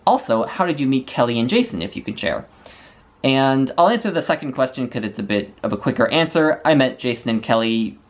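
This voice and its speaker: A man from the United States talks casually to his camera, answering viewer questions one by one. His voice sits at an ordinary middle pitch, and he speaks thoughtfully, often restarting a phrase before carrying on. He sounds relaxed and chatty. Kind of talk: casual Q&A monologue.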